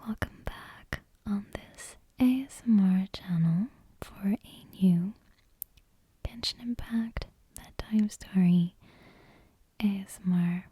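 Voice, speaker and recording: A woman speaks in a very breathy, whispery hush, close to the mic. Her delivery is slow and gentle, with a dark, mellow softness suited to bedtime ASMR. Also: mid-range voice. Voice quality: breathy, hushed, near-whisper. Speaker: ASMR channel host. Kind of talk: soft-spoken, whispery ASMR, intro and bedtime story reading. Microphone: close ASMR microphone with headphone monitoring.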